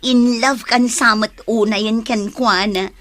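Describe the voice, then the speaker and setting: A woman's voice: a little high, fairly even intonation, a little slow, a bit bright. A woman with an Ilocano Filipino accent acting as an outspoken, teasing young best friend in a radio drama.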